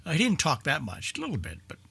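A man with a husky voice chatting casually in a group, reminiscing in a halting way. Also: fairly high pitch, huge pitch swings, bright, forceful tone. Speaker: radio show panelist. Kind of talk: casual group chat about old-time radio.

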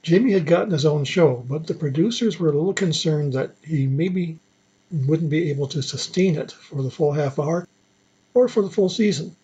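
Male presenter for nostalgia radio show, chatty and conversational, soft mellow tone, a bit lo-fi. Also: fairly high pitch, breathy edge. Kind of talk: conversational introduction to an old-time radio show. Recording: slightly dull lo-fi audio.

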